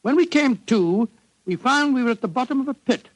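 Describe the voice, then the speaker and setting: An actor playing a fussy, blustery older British doctor and loyal sidekick in a 1940s style radio drama. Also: male voice, very high voice, expressive, a little fast, low-quality recording. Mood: genial storytelling.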